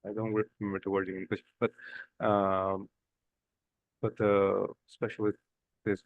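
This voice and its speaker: Brazilian Portuguese-accented man, dry close sound, halting second-language English, seminar participant. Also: lowish voice, creaky, no echo, low-quality recording. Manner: halting, searching for English words.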